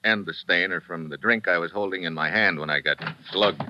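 A man playing a laconic deep-voiced investigator. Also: a little fast, background noise, lightly acted. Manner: laconic, wry. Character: tough wisecracking male private investigator. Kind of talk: 1940s radio acting. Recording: dull vintage radio recording.

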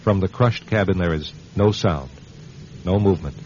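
An actor playing a grave narrator of a suspense tale, measured and dramatic, in old-time radio style. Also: male voice, deep voice, a little mellow, slight background noise, lightly acted. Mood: grave, suspenseful. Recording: dull old radio recording.

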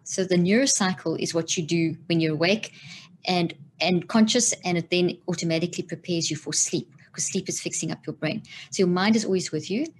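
A middle-aged woman from southern Africa, explaining science as an interview guest. Her voice sits a little low, and her delivery is emphatic and enthusiastic. Faint room noise sits behind her. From Zimbabwe and South Africa. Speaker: guest neuroscientist.

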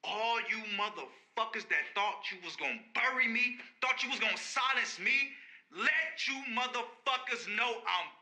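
A man's voice: extremely high pitch, expressive, moderate pace, pushed and bright. A man giving a defiant, profane boast in a short intro clip. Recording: roomy echo, distant.

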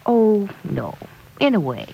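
A woman playing a caring, protective guardian, gentle and earnest with a teasing side, in 1940s radio serial acting. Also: mid-range voice, huge pitch swings, slow pace, vocal fry, slight background noise, exaggerated voice. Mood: earnest, concerned. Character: Penny Gibbs, caring, protective woman guardian wary of young love. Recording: dull old radio recording.

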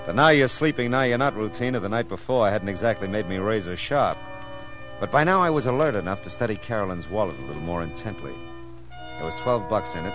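Male actor as hardboiled American private eye: low, wry, clipped delivery, 1940s radio drama, music behind. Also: close to an everyday voice. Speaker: lead actor and narrator. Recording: old radio show with music.

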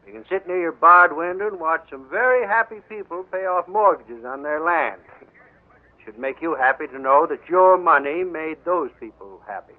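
An actor playing a calm, measured rancher who has outwitted a swindler, in 1940s radio acting. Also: male voice, fairly high pitch, close to an everyday voice. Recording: tinny old radio broadcast.

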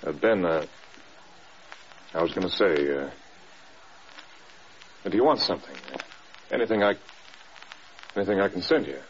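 Stern air force general, American, low pitch, reserved, expressive range, dull old radio recording. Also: male voice, slight background noise. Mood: awkward, restrained concern. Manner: halting, reserved, clipped military talk. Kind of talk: mid-century American radio drama acting.

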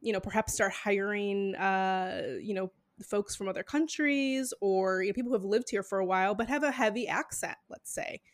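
A woman's voice: medium pitch, normal speed, hardly any pauses. A woman hosting a podcast, asking questions in a thoughtful, loosely phrased way with many fillers. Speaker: podcast host. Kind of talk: conversational interview.

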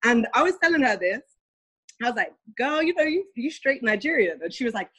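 A woman's voice: a little high, big pitch swings, fairly quick, pushed and bright, husky. A young British woman talking in a casual conversation, chatty and full of fillers. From United Kingdom.

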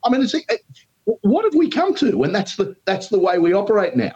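A man's voice: very animated, brisk, darkish tone. A man with an Australian accent, a radio talkback host, giving emphatic opinions with frequent ums as a guest. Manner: emphatic, pitch leaps when indignant, frequent ums. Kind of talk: opinionated commentary in an interview. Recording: dull lo-fi remote line.

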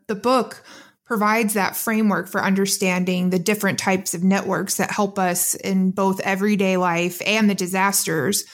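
A woman hosting an interview, setting up questions in a conversational way. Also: medium pitch. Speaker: interviewer, host.

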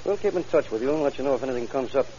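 An actor playing a brisk, no-nonsense police detective in an old-time radio drama. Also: male voice, mid-range voice, lively intonation, mellow, a little hiss or hum, lightly acted. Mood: businesslike. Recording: dull old radio recording.

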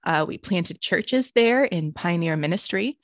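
A woman in her thirties, a ministry leader and author, talking about her life in a reflective, earnest way with soft laughs. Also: lowish voice, animated, unhurried, no echo, clean studio sound. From born in Greece, raised in the United States and Romania. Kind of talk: casual interview conversation.

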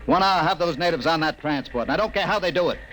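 Domineering general, swooping voice, old radio. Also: male voice, fairly high pitch, lively intonation, fast, fairly bright tone, slight background noise, low-quality recording. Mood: commanding. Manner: brusque, sarcastic, barks orders. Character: impatient, domineering military general, middle-aged man. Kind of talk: classic radio drama acting. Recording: old radio recording, music behind.

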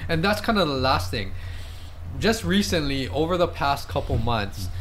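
A man who is a fitness and nutrition coach, explaining calmly and affirmingly in a casual conversation. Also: a little high, normal speed, slight background noise.

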